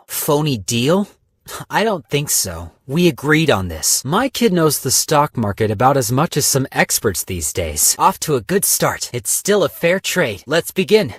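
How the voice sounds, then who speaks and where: A tired, money-minded broker from a video game, who talks in a calm, deadpan way. His husky voice moves a lot in pitch. The studio sound is dry and close. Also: no echo. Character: weary, cold-blooded businessman stock broker, male, adult. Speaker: game character voice lines.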